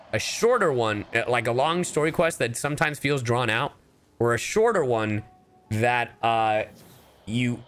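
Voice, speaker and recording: An animated man talks casually to his stream chat while gaming. His pitch jumps around in a lively, goofy way, and faint game music plays behind him. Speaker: livestreamer, VTuber.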